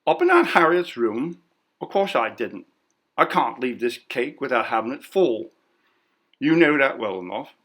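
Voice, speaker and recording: A man voicing a brisk, bossy, suspicious sister in a story, with a scolding, insistent manner. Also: medium pitch, sing-song, fairly bright tone. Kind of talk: audiobook character voice.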